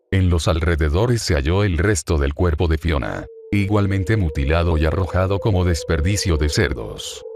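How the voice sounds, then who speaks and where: A man with a very low voice delivers scripted horror narration in the style of a crime report. His delivery is grave, measured and formal. Clear noise and ambience sit behind the voice.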